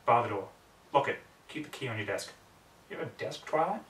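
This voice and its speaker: A man's voice: mid-range voice, expressive, a little fast, fairly bright tone. A man narrating a story in a brooding, theatrical way, often in clipped fragments. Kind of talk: dramatic story reading. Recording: roomy echo, distant microphone.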